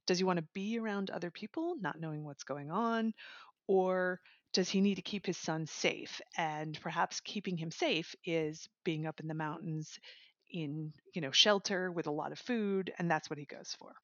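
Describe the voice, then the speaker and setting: A woman's voice: fairly low pitch, normal speed, a bit bright, dry recording. A woman reviewer in casual chat, summarizing a book enthusiastically and laughing now and then. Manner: enthusiastic, chatty, laughs while talking. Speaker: audiobook reviewer, guest. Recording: dry, slightly dull lo-fi sound.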